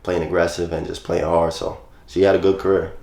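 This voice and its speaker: A man's voice: low-pitched, fairly quick, gravelly, roomy sound. A young man, chatting loosely about his past as an interview guest. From New York. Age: 20s. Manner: relaxed, loose phrasing with many "like" fillers. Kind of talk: casual interview.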